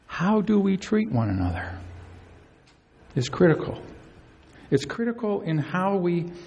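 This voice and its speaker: A male pastor preaching an earnest sermon, his voice climbing high to stress key phrases. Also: darkish tone. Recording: long hall reverb.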